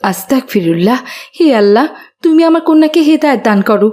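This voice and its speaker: A woman voicing a caring, devout mother who gently admonishes in a moral cartoon. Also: high voice, expressive, quick pace. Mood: dismayed, pleading. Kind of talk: Bengali moral cartoon voice acting.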